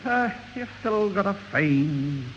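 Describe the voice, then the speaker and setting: Through muffled, echoing old radio sound comes an irascible older Scotsman. He blusters and scolds in a high, swooping voice, full sitcom style. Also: animated, slow. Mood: jovial. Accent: Scottish. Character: irascible older Scottish uncle, quick to bluster. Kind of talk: 1970s radio sitcom acting. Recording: muffled old radio, hiss, hall reverb.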